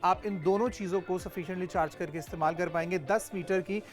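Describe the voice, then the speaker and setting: A man's voice: sits high, steady tone, normal speed, soft background music. Man demonstrating a gadget on shopping TV. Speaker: TV shopping presenter. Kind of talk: product demonstration sales talk.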